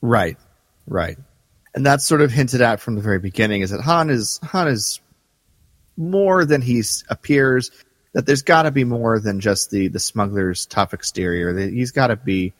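A man co-hosting a podcast in casual fan discussion, halting and thoughtful as he talks. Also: mid-range voice, dry recording, big-room sound. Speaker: podcast co-host.

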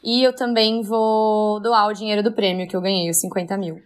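A woman guest in casual chat on a video call, telling stories with a slightly hoarse voice. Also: medium pitch, normal speed. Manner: chatty, laughing, animated storytelling.

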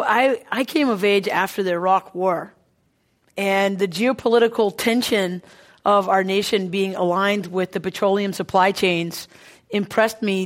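A woman speaks as a guest on a panel. Her answer comes out articulate and earnest, in a somewhat formal tone. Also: medium pitch.

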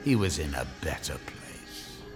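A man's voice: extremely low pitch, sing-song, measured pace, music in the background. A man narrating a scripted drama in a wry, theatrical storytelling manner.

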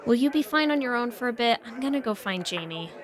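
A woman's voice: fairly high pitch, expressive, fast, some chatter behind, lightly acted. A woman playing an earnest, worried best friend in an audio drama. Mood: earnest, resolved. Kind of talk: naturalistic audio drama acting.

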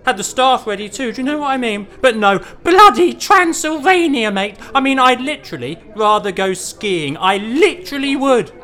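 A man's voice: extremely high pitch, lively intonation, normal speed, hardly any pauses, pushed and bright, voice pushed up, full character voice. A man voicing a brash, crude young lad who swears and says mate a lot.

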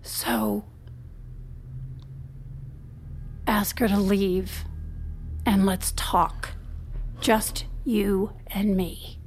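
A woman's voice: medium pitch, level tone, extremely slow, a little mellow, a little hiss or hum. An actress playing a guarded, bitter woman in a naturalistic audio drama, curt, profane and confrontational. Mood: hurt, pleading. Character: guarded, bitter, sharp-tongued woman with secrets.

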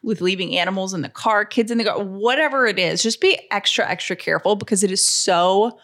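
A woman's voice: medium pitch, a little fast, fairly bright tone. An American woman in her thirties telling everyday stories casually as a co-host, with emphatic delivery. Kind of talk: casual anecdotal chat.

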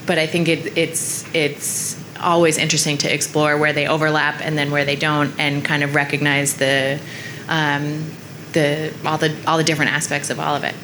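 Low-voiced woman chef on a panel. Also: monotone, normal speed, noisy background. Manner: agreeable, thoughtful, a few laughs. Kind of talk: live panel discussion. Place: restaurant with a live audience.

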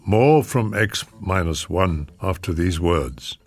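A man's voice: deep voice, lively intonation, slow, soft background music. A man giving a scripted radio continuity announcement as the announcer.

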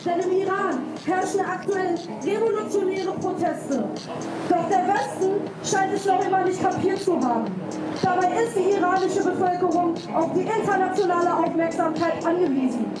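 A woman's voice: very high voice, normal speed. A woman giving an urgent speech at an outdoor protest rally. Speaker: protest speaker. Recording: echoey, distant, loud music.

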